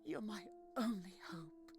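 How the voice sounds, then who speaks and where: A wealthy, controlling mother in a fantasy game. Her delivery is solemn and deliberate, at a middling pitch, full of possessive devotion. Loud guitar music plays over her. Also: lively intonation. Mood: remorseful, pleading. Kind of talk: fantasy game character acting.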